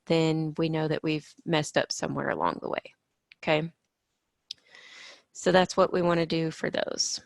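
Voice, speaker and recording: A woman teaching a middle-school math lesson, explaining each step patiently and sounding a little hoarse from allergies. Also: deep voice, no echo.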